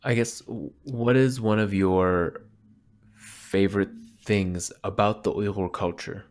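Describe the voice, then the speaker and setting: A male podcast host asks his guest questions in a relaxed, conversational tone. His voice is very low. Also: takes it slowly, slight background noise. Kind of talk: casual interview questions.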